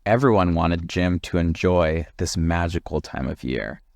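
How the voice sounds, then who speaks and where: A man reading a children's story aloud as an expressive narrator. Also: low-pitched, a little slow, no echo, studio quality. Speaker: storybook reader.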